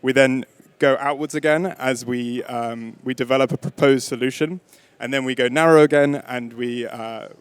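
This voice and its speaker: Smooth-voiced man moderating a panel. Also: medium pitch, dry recording. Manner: hesitant, many fillers and restarts. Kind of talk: hosting a panel discussion.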